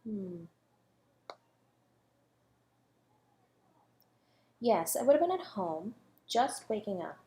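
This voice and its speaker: A woman playing a self-assured academic under suspicion of murder in a flirty audio roleplay, teasing and composed, with deliberate, condescending delivery. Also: medium pitch, lively intonation, dark tone, natural voice. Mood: cool, condescending. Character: coy, self-assured female academic, a murder suspect toying with detective. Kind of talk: flirty audio roleplay, one-sided dialogue.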